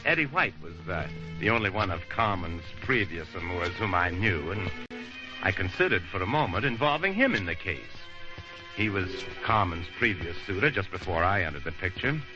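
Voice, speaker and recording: A low, muffled voice from an old radio thriller, with music playing behind it. a smug, scheming lawyer who speaks in formal, theatrical phrases like a noir narrator. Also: male voice, expressive, normal speed. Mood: smug, calculating. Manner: wordy, theatrical, formal diction. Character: scheming, self-satisfied male lawyer narrating his own crime.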